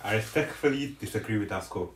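A man's voice: fairly low pitch, animated, quick pace, a little hiss or hum. A young man in casual, joking chat as a reaction co-host, slangy and given to repeating himself. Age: young adult. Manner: slangy, repetitive. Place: small living room, seated on a couch. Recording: echoey living room recording.

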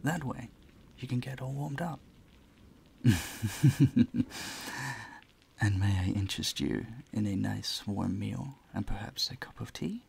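A man playing a courteous, charming vampire in an intimate audio roleplay, soft-spoken, breathy and soothing, often close to a whisper. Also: fairly low pitch, slow pace, long pauses, full character voice. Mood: inviting, reassuring. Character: gentle, courteous male vampire, charming and doting. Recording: faint ambient noise behind the voice.

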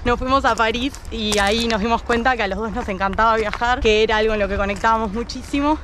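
A woman's voice: fairly high pitch, fast talker. Uruguayan woman vlogging outdoors, faint noise. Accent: Uruguayan Spanish. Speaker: travel vlogger. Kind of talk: casual personal storytelling to camera. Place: outdoors, walking on a woodland path. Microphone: selfie camera at arm's length, she a step behind.

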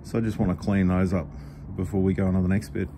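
A middle-aged Australian man, a knife maker, explaining his work step by step in a relaxed, practical way while he works at the bench. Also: low voice, quick pace, slight background noise. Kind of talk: workshop tutorial voice-over. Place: home workshop.

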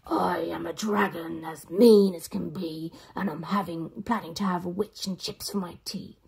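A woman voicing a mean, hungry dragon with a slow, breathy growl. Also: lowish voice, steady tone, a little mellow, pitched below the performer's own voice, full character voice. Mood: menacing, gloating.

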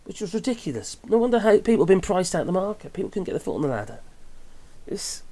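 A man's voice: high-pitched, very animated, fast talker, dark tone. English guy in his 50s, chatty opinionated vlog rant, wanders off and corrects himself. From England. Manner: rambling, chatty, with tag questions and self-corrections. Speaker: vlogger commenting on news. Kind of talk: casual opinionated commentary. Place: small wooden cabin room with open window.

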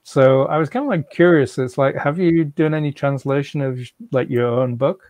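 A man's voice: on the high side, moderate pace, a little mellow, no echo. A middle-aged man hosting a video call interview, asking questions casually with many uhs and likes. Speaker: host.

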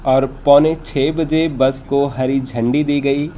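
A man's voice: mid-range voice, steady tone, takes it slowly, warm tone, a little hiss or hum. A man narrating a travel vlog from a script, explaining things in an informative, orderly way. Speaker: travel vlogger and host.

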